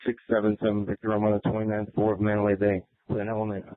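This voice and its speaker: A man's voice: lowish voice, monotone, racing. A male police officer making terse position reports over a police radio. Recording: narrow, tinny police scanner radio.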